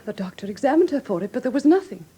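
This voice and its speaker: High-voiced, anxious English gentlewoman. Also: sing-song, very fast, breathy edge, exaggerated voice. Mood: subdued, grave. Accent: English Received Pronunciation. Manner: genteel, earnest, precise diction. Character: refined, anxious young woman recounting her sister's death. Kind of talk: classic British radio drama acting.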